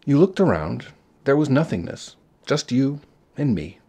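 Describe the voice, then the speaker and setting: A man narrating a short story in a measured, expressive storytelling style. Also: mid-range voice, husky.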